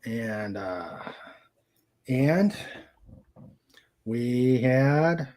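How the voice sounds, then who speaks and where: A man hosting a casual livestream, speaking in a halting way as he searches for words. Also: medium pitch, lively intonation, darkish tone. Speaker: host of a live-streamed show.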